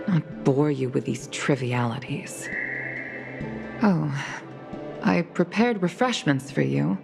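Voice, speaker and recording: A woman's voice: low-pitched, creaky. An actress playing a composed, protective middle-aged veteran pilot mother in a video game, speaking formally and with weariness. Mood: gracious, apologetic. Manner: formal, measured, gracious. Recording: background music under the voice.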